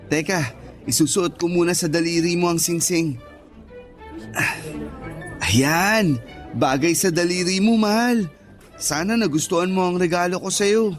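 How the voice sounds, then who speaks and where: An actor playing a sweet, devoted young boyfriend in a Tagalog radio romance, earnest and affectionate. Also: male voice, high voice, a little slow, long pauses. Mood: loving. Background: faint music.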